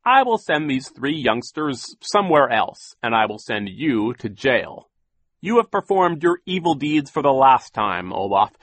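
A man acts out a pompous, indignant storybook gentleman who lays down the law. He scolds sternly and emphatically in a forceful, animated voice, raised somewhat in pitch and very dry. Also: a little slow, no echo. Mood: firm, reproving. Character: stern, fussy, indignant gentleman banker in authority. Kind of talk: audiobook character voice.

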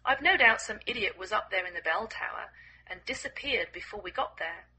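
A hoarse woman reading the lines of a friendly, apologetic male village cabinet maker, close to her own voice. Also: high voice, lively intonation, brisk, sharp tone, a little hiss or hum, heavily acted, low-quality recording.

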